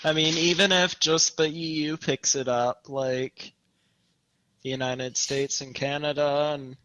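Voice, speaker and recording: A young man co-hosting a podcast in casual discussion, opinionated and thinking aloud in a halting way. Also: on the high side, fairly even intonation, fairly bright tone, no room sound, highs cut off. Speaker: podcast co-host. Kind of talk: casual chat, gaming discussion.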